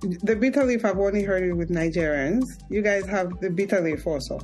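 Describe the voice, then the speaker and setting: A woman's voice: medium pitch, brisk, a little mellow, soft background music. A woman hosting a podcast, reading a guest introduction and asking friendly, curious questions. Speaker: podcast host. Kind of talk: interview, casual chat.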